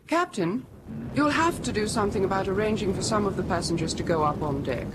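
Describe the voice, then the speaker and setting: An actress playing a brisk, practical, teasing young woman looking after passengers in a 1970s radio drama. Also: expressive, close to an everyday voice. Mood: businesslike. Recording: old radio recording, loud rumbling noise.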